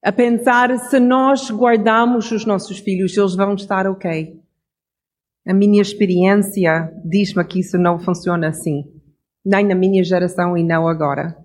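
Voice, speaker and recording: Middle-aged preacher type, calm reflective pace, fixes own words now and then. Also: medium pitch. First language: English. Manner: reflective, measured, with small self-corrections. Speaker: devotional speaker. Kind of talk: Bible devotional message.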